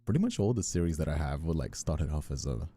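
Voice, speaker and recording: A man's voice: extremely low pitch, expressive, fast, warm tone, breathy edge. A man hosting a casual live stream chat, talking in an easygoing, conversational way. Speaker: host, streamer and voice actor. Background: faint background music.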